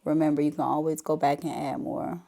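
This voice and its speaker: A woman with a notably low voice talks through a makeup tutorial in a loose, chatty way, addressing viewers directly. Her voice sounds stuffy and congested, as she is getting over a cold. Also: little pitch movement, a little fast, vocal fry, dark tone. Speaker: beauty vlogger.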